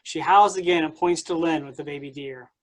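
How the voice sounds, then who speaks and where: A woman's voice: deep voice, a little fast. A woman reading aloud and hosting at a staged play reading. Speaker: stage-directions reader and moderator.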